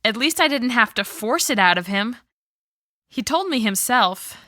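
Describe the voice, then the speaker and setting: A woman's voice: on the high side, expressive, moderate pace, bright, forceful tone. A woman playing a worried, downcast wife in soap-opera dialogue for English learners, enunciating carefully. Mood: defensive. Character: worried, downcast wife fearing her husband's affair.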